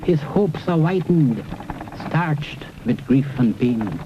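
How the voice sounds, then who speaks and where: On a dull, noisy old film soundtrack, a man recites poetry as documentary narration. His delivery is slow and solemn, each phrase measured and dramatic, in a mid-pitched voice. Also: expressive.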